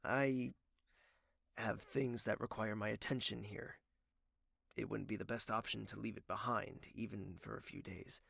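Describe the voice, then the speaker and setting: A man's voice: fairly low pitch, fairly even intonation, measured pace, gravelly, fairly bright tone, slightly rough, no echo, clean studio sound, exaggerated voice. A man playing a tender, secretive young prince with a formal, hesitant way of speaking in a romantic audio drama. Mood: wistful. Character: soft-spoken young prince in love, secretive and wistful. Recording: music under the voice.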